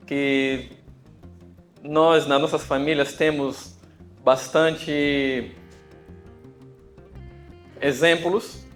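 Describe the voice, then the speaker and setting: A man from Angola giving a conference talk, with an Angolan accent touched by Brazilian Portuguese, speaking hesitantly with many fillers and pauses. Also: fairly high pitch. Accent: Angolan Portuguese with some Brazilian. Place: conference venue.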